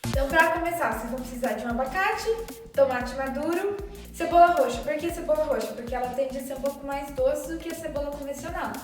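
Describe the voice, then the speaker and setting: A woman's voice: high-pitched, quick pace, very echoey, distant mic, music in the background. A Brazilian woman hosting a home cooking tutorial, explaining the recipe in a chatty way with lots of filler tags. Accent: Brazilian Portuguese. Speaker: cooking show host. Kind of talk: bilingual cooking tutorial chat.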